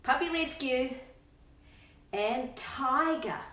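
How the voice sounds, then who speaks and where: A woman's voice: high-pitched, very slow, sharp tone. A middle-aged Australian woman chatting to children and a pet in a playful, coaxing storytime manner, with sing-song questions. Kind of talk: chatty children's storytime, talking to kids and her dog. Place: indoors at home, on a couch. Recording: roomy echo, distant microphone.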